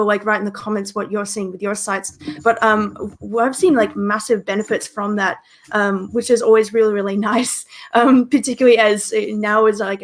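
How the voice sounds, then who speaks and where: Chatty woman co-hosting. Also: medium pitch. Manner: chatty, many ums and likes, laughs often. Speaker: meetup co-host. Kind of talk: livestream panel hosting.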